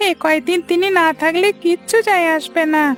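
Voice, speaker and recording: A woman's voice: very high-pitched, steady tone, fairly quick, a bit bright, faint music behind. A woman voicing a stubborn, sulky young schoolboy in a cartoon, with a whiny, insistent way of talking. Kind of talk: cartoon voice acting.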